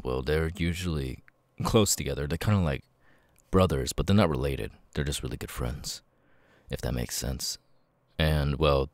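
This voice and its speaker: A man playing a nervous, grateful young outcast with vocal tics in an ASMR roleplay, speaking haltingly and repeating words. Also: dry recording, exaggerated voice. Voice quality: very deep voice with stuttering, sudden high-pitched tic outbursts.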